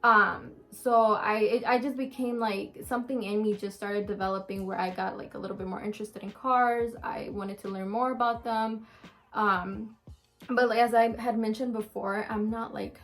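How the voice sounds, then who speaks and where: A woman's voice: mid-range voice, fairly even intonation, normal speed, fairly bright tone, soft background music. Chatty young woman answering questions. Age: around twenty. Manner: chatty, frequent ums and restarts, open and candid. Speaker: vlogger answering viewer questions. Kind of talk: casual Q&A chat to camera.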